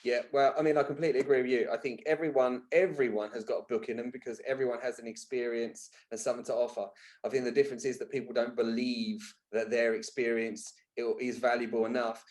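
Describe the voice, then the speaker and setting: A man's voice: mid-range voice, fairly even intonation, quick pace, a little mellow, poor audio. A man speaking as a podcast guest, telling candid personal stories in a colloquial, emphatic way. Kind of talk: candid conversational storytelling.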